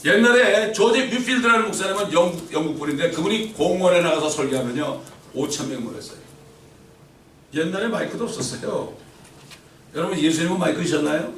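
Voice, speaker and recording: A middle-aged man preaching a sermon, swinging between loud emphatic peaks and quiet asides and asking the congregation questions. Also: a little high, lively intonation, a little fast, slight background noise. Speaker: pastor. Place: church sanctuary. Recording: roomy church echo from far off.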